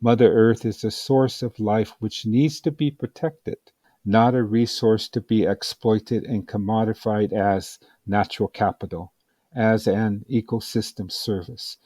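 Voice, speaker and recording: A man reading an advocacy audiobook as scripted narration, with measured, deliberate and solemn phrasing. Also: on the low side, fairly even intonation, dark tone, no room sound. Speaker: audiobook reader.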